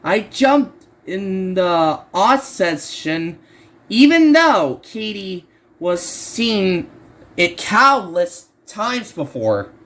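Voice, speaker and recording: Slow, high-pitched man reading aloud haltingly. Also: big pitch swings, a bit bright. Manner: halting, stumbles and repeats words while reading. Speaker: narrator of horror stories. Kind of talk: amateur creepypasta reading aloud.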